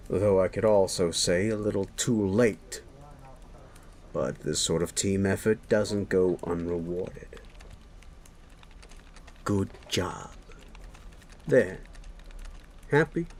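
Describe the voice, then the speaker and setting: A man acts out a sleazy male werewolf boss for an audio roleplay, speaking straight to the listener. The voice sits low and moves very slowly, drawling each jab. He is sardonic and bullying, with a gruff, flirtatious edge. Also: darkish tone, a little hiss or hum. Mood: smug, mocking.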